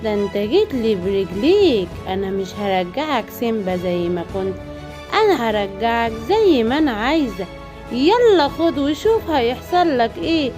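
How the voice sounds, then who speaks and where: A woman's voice: fairly high pitch, sing-song, moderate pace, over music. An Egyptian woman voicing a scheming evil witch who taunts and gives orders in a children's cartoon. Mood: gloating. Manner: taunting, commanding.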